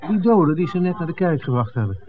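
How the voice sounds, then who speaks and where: An actor playing an inquisitive film-maker visiting a village in a 1960s radio play, conversational and full of questions. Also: male voice, on the high side, very animated, quick pace. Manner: questioning, conversational, hesitant asides. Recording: tinny, noisy 1960s radio recording.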